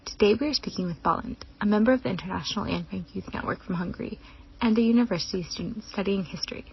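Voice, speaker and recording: A woman's voice: medium pitch, fast, vocal fry, muffled recording. A woman hosting an interview podcast, moving between scripted questions and casual remarks. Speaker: podcast host.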